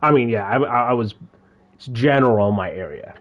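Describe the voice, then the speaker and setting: A man's voice: mid-range voice, expressive, moderate pace, muffled recording. A man from India, streaming a game and chatting casually, laughing often and repeating words as he talks. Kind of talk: casual gaming chat.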